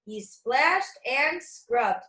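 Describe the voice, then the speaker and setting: Lady with a high voice doing a kids storytime read aloud, takes it slow, echoey room. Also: lively intonation, sharp tone. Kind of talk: children's picture book read-aloud with asides to young listeners.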